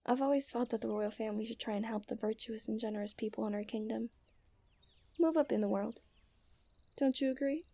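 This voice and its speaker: A young woman playing a coy, refined and tender princess in a romantic ASMR roleplay, speaking in playful storybook diction that often softens to a near-whisper. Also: a little high, fairly quick. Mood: playful, knowing. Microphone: close studio-style microphone.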